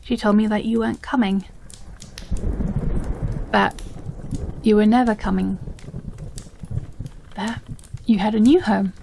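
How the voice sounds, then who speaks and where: A woman with a British accent playing an obsessive, possessive, unstable stepsister in a dramatic audio roleplay, speaking haltingly with heavy pauses. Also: mid-range voice, steady tone, gravelly, mellow, slight background noise. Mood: anguished, unhinged.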